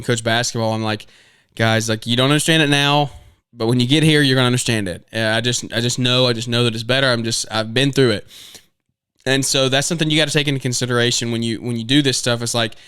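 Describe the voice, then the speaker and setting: A man's voice: mid-range voice, fairly bright tone, smooth, dry recording, clean studio sound. A man in his twenties hosting a podcast, giving casual advice in an earnest, rambling way with many restarts and fillers. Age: 20s. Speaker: podcast host.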